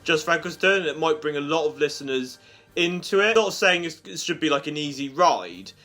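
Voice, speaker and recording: Bright-voiced, enthusiastic man reviewing. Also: fairly high pitch, animated, fairly quick. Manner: enthusiastic, chatty, informal. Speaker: YouTube reviewer and host. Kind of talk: casual review of an audio drama, spoken to viewers. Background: music under the voice.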